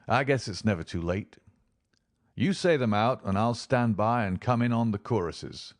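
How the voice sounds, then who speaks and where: A man reading an audiobook, voicing a weathered frontier man who talks in a rough rustic dialect, gentle and resigned. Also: on the low side, lively intonation, normal speed, no echo, clean studio sound, voice pushed up, close to an everyday voice.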